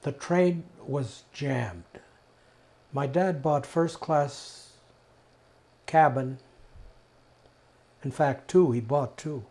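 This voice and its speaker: An elderly man from Czechoslovakia giving personal testimony, telling his story deliberately in short, emphatic phrases with pauses. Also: medium pitch, warm tone. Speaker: interviewee, Holocaust survivor giving testimony. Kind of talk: personal oral history testimony.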